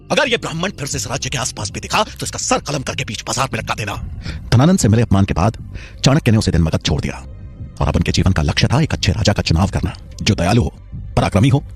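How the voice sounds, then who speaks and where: Animated male storyteller over music. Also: sits low, slightly rough. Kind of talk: dramatic scripted Hindi story narration.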